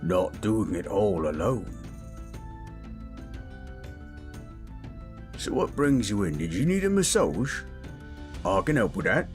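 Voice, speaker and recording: A man voicing a big, gentle, chatty orc in a soothing roleplay audio, with a folksy, rambling, rustic way of talking. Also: on the low side, sing-song, normal speed, faint music behind. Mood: gentle, reassuring. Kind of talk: soothing character roleplay audio addressed to listener.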